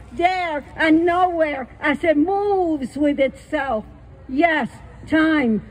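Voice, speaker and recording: A woman's voice: sits high, darkish tone, low-quality recording. An older woman reading her own poems with friendly, plain-spoken asides on a small outdoor stage, using a stage microphone on a stand. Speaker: poet. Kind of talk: poetry reading with chatty asides. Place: small outdoor stage under trees at a festival.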